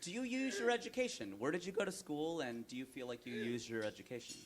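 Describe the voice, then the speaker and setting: A man who is a comedian interviewing a guest on a gala stage, tossing in quick jokes and playful asides. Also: medium pitch, lively intonation, slight background noise. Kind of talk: joking onstage interview. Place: stage at an indoor gala before a live audience.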